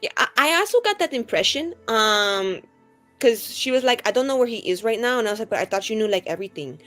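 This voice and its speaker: A woman's voice: on the high side, lively intonation, quick pace, fairly bright tone. Woman playing a breezy, rambling young adventurer, music behind. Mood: earnest, chatty. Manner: rambling, heavy use of "like", breezy. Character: bubbly, vain, scatterbrained young woman adventurer. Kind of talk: in-character tabletop roleplay.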